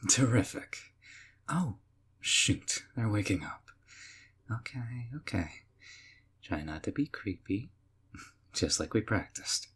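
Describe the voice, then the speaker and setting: A man's voice: fairly low pitch, very animated, takes it slowly, long pauses, slightly rough, heavily acted. Sammy, a man playing a sweetly creepy male kidnapper. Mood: coaxing. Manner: soft, coaxing, often whispering. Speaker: voice actor. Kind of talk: audio roleplay.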